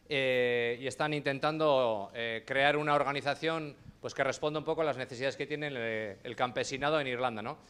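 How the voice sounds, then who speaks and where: A man's voice: fairly high pitch, fairly bright tone, clear, resonant tone. A man moderating a panel, introducing each speaker in a loose, chatty way with many fillers.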